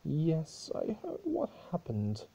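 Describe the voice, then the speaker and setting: Man playing a husky, grieving pony princess. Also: on the high side, wildly swooping pitch, slow, dark tone, pitched above the performer's own voice, exaggerated voice, poor audio. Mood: uneasy. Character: grieving, weary female pony princess, short-tempered. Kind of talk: dramatic fan-fiction reading.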